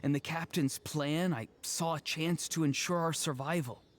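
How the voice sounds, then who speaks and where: Man playing an earnest, higher-pitched young warrior. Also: fairly even intonation, moderate pace, natural voice. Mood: earnest, resolute. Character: earnest, resolute young male warrior, noble and heartfelt. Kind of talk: video game voice acting.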